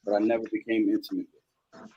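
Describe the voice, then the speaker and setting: A man's voice: fairly low pitch, flat delivery, fast, highs cut off, crowd noise. A man in his forties talking animatedly in a group chat, pressing others with questions. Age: 40s.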